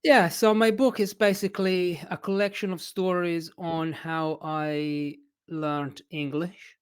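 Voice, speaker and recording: A man from Italy with an Italian accent, an English teacher chatting as a podcast guest in a hesitant, expressive way. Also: a little high, slow pace, smooth. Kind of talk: casual interview chat.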